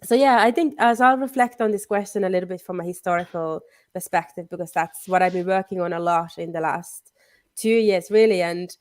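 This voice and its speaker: A woman's voice: lowish voice, fairly quick, darkish tone, no echo. A woman with a cold and a nasal voice, speaking as a panelist over a video call and thinking aloud in a conversational way. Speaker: panelist, legal historian. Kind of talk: panel discussion.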